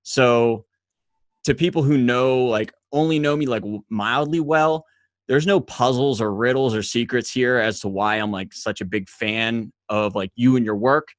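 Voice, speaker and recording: A man's voice: medium pitch, no echo, clean studio sound. A man hosting a podcast, talking in a loose, enthusiastic way with casual fillers. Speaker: podcast host. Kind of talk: casual interview chat.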